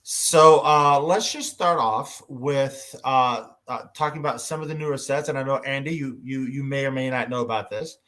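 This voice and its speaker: Guy hosting a laid-back fan chat, mid-pitched voice, kinda halting as he talks. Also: fairly bright tone. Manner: hesitant, halting delivery. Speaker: host of a fan panel. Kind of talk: casual panel chat.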